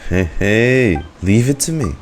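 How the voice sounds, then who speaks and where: A man's voice: lowish voice, big pitch swings, a little slow, slight background noise. A man giving casual gameplay commentary as a reaction streamer, with laid-back, joking asides.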